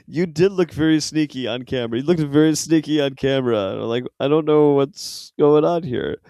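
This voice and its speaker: A man's voice: on the high side, normal speed, warm tone, no echo. A man co-hosting a casual soccer podcast, bantering in a friendly, upbeat way. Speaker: podcast co-host.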